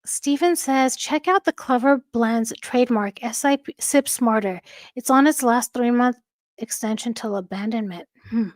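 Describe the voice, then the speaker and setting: A woman hosting a livestream, chatting casually with a soft, calming voice and reading out viewer comments. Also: a little high, moderate pace, dry recording. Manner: chatty, warm thanks to viewers, frequent fillers and light laughs. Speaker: livestream host. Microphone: home streaming microphone.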